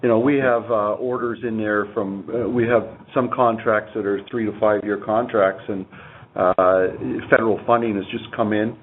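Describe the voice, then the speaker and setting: A man's voice: fairly low pitch, steady tone, normal speed. A man answering as a company executive on an earnings call, explaining informally and repeating words as he goes, on a dull, noisy line.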